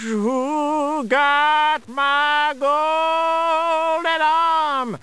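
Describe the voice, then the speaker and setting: A man reading a story aloud, voicing the moaning ghost of a dead woman in a slow, wailing, drawn-out voice. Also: very high voice, steady tone, pushed and bright, soft background music, voice pushed up, heavily acted. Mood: eerie moaning.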